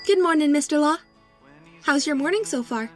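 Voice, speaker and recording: A woman's voice: sits high, lively intonation, normal speed, over music. A woman playing a chatty, friendly teenage barista in an audio crime drama. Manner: casual, teenage. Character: chatty, friendly seventeen-year-old high school girl barista.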